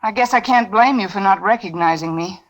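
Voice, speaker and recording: A woman's voice: mid-range voice, fairly quick, lightly acted, poor audio. An actress playing a poised, sardonic career woman in her thirties, dry and polished, in 1940s radio drama style. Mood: cool, composed. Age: 30s. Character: poised, sardonic best-dressed career woman confessing to murder. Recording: old radio broadcast with music underneath.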